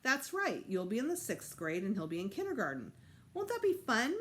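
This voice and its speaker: A woman's voice: a little high, huge pitch swings, brisk, voice pushed up. A woman voicing a patient, reassuring mother in a storybook, coaxing and placating her child. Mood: upbeat, reassuring.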